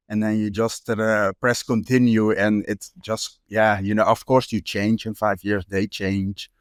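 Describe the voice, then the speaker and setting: A man's voice: lowish voice, clear, resonant tone, no echo, clean studio sound. A young man from the Netherlands, a street photographer talking casually as a guest, with many fillers and restarts. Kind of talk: casual interview chat.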